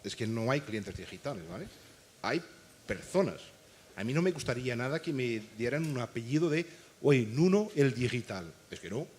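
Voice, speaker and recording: A man's voice: medium pitch, very animated, normal speed, darkish tone, slight background noise. A man from Portugal speaking with a Portuguese accent as a conference panelist, emphatic and checking in with his listeners again and again. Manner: emphatic, keeps adding "¿vale?". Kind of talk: panel discussion. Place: conference stage.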